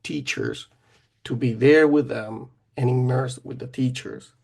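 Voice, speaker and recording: A man's voice: mid-range voice, a little slow, creaky, a little mellow. A man who teaches children, talking in a casual podcast conversation, hesitant and often restarting his sentences.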